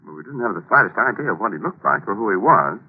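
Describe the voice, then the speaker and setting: An actor playing a self-assured FBI chief in a 1940s radio thriller, matter-of-fact and authoritative. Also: male voice, lowish voice, big pitch swings, quick pace, slightly rough. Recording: tinny 1940s radio recording.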